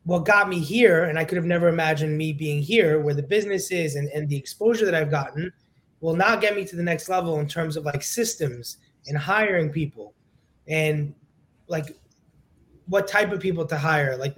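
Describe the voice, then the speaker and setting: A young man from New York talks in a brash, lively way, acting out his own remarks as quotes. His voice sits high for a man. He is a guest on a casual podcast. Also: moderate pace. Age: young adult. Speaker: guest physical therapist.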